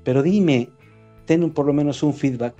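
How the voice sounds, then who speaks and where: A man with a Mexican accent, a writer answering interview questions in a reflective, halting way with frequent restarts. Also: a little high, warm tone, dull audio, soft background music. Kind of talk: conversational interview.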